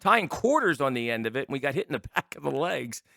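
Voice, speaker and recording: A man's voice: mid-range voice, very animated, quick pace, gravelly, bright, forceful tone, dry recording, studio quality. A man hosting a sports talk show in casual interview chat, chatty and often stumbling over and repeating his words.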